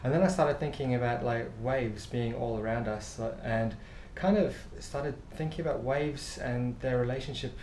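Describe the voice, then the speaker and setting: A middle-aged man from South Africa, an author and travel writer, telling stories in a relaxed interview with lots of fillers. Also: mid-range voice, moderate pace, few pauses. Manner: rambling, warm storytelling with laughs and many fillers. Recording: echoey room with faint noise.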